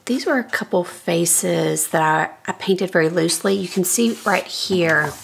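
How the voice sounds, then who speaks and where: A middle-aged woman with a low, scratchy, gravelly voice walks through her sketchbook in a relaxed, thinking-aloud way. She chats casually from her home studio, with a faint hiss under her voice. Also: normal speed. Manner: relaxed, thinking aloud while flipping pages. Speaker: artist giving a sketchbook tour. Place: home art studio, indoors. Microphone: overhead camera, speaker off-frame.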